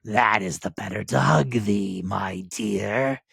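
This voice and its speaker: Man playing a sly, husky storybook wolf. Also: sits low, fairly bright tone, no room sound, clean studio sound, full character voice. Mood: coaxing. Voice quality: big rough voice. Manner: coaxing, slow, menacing. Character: cunning wicked big male wolf, hungry predator.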